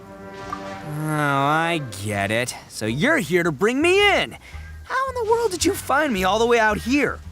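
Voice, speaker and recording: A man's voice: sits high, big pitch swings, measured pace, music in the background. A man playing a brash, big-hearted young oni gang boss in a video game, blustering and theatrical. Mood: defiant.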